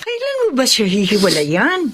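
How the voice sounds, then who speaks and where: A woman's voice: on the high side, wildly swooping pitch, moderate pace, a bit bright, a little hiss or hum. A woman playing an exasperated confidante in a Filipino radio drama, nagging emphatically. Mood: exasperated, concerned. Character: exasperated, scolding female confidante.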